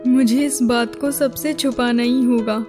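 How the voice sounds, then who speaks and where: A woman's voice: on the high side, steady tone, measured pace, lightly acted. A woman voicing a guilt-ridden young princess in a cartoon story, with an earnest, dramatic manner. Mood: anguished, secretive. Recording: music behind the voice.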